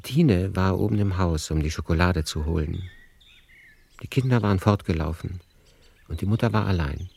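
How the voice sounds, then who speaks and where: A man narrating a literary radio play in a measured, lyrical storytelling manner. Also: deep voice, creaky, darkish tone, breathy edge.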